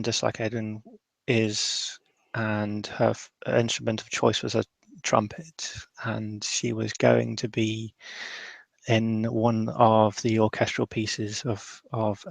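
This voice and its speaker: A man playing a tabletop roleplaying game, improvising somber description in a halting way. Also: on the low side, gravelly, dry recording.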